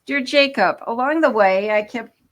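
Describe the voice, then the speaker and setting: An older woman from Minnesota speaking as a guest author, earnest and halting, often restarting her sentences. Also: on the high side. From Minnesota, United States. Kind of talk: interview talk.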